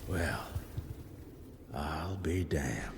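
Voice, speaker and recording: A man playing a gruff, sarcastic vampire hunter in a Wild West video game, with a crude, drawling manner. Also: rumbling low, extremely slow, heavy background noise, heavily acted. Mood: dry. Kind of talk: video game cutscene acting. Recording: loud music behind.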